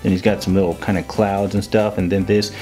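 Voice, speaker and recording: A man's voice: sits low, flat delivery, a little fast, a little mellow, faint music behind. A man giving a casual, jokey action figure review as host, with a playful manner and silly made-up words. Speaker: toy reviewer and video host.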